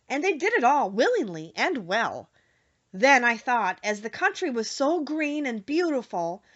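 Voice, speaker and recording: A small old humbug wizard from a storybook , acted out by a woman, pitched high and swinging expressively as he confesses earnestly and sorrowfully. The recording is slightly dull. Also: fairly bright tone, full character voice. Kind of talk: audiobook character voice.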